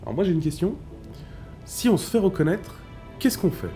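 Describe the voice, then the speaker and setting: A man's voice: high voice, animated, normal speed, dark tone, faint music behind. An actor playing a sarcastic, resentful young man in a gang in an audio drama, with rambling sentences. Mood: dry, mocking.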